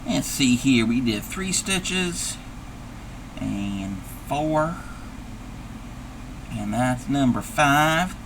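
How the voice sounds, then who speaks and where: A man's voice: medium pitch, lively intonation, extremely slow, gravelly, pauses often, background noise, full character voice. A man voicing a chatty, forgetful old grandmother who rambles, repeats herself and reads viewer chat aloud while crafting on a livestream. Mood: rambling. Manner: rambling, loses her train of thought, repeats herself. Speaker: streamer.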